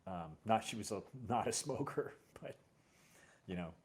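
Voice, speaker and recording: A middle-aged man hosting a casual, personal conversation, telling stories in a halting, reflective way. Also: lowish voice, expressive. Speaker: host.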